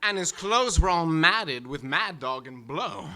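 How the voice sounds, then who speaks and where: A man impersonating an eccentric older actor with halting, deadpan phrasing in a comic stage reading of a poem. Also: a little high. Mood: storytelling.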